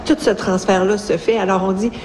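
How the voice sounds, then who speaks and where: A woman educator explains things informally. Her pitch is average, and the sound carries long room reverb and faint noise. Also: fairly even intonation, brisk, a little mellow. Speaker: educator advising parents.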